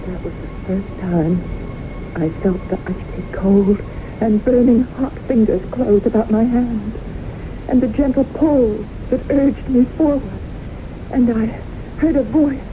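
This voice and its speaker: An actress in an old-time radio drama playing a haunted widow who tells her story in a dramatic, deliberate, earnest way. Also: a little high, close to an everyday voice. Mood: haunted, intense. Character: haunted widow solemnly recounting her brushes with death. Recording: dull, hissy old radio broadcast.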